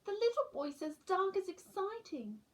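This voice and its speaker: A storybook baby barn owl, a small boy bird acted out by a woman reader. The voice is high and very lively in pitch, with an earnest, childlike emphasis. He sounds eager yet timid. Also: moderate pace. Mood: earnest, wary.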